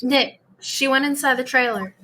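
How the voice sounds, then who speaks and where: A woman voicing a plucky teenage girl sidekick in a game dub, earnest and quick to protest. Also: high voice, bright, forceful tone, slight background noise. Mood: urgent. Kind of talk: fan live dub of a visual novel game.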